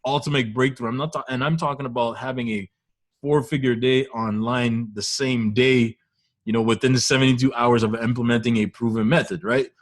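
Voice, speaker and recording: A man's voice: medium pitch, fairly even intonation, brisk. Chatty man hosting a webinar. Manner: conversational, keeps adding "right?". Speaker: webinar host and online entrepreneur. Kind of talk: personal story in a webinar.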